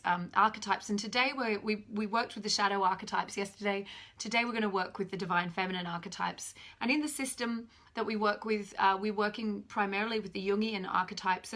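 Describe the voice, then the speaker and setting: A woman in her thirties with a slightly croaky voice, chatting animatedly on a live stream from home to her phone camera. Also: medium pitch. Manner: animated, gestures a lot while talking; chatty and self-deprecating. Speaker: spiritual teacher hosting a live stream. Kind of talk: casual live-stream talk. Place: home room indoors. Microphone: phone camera at arm's length.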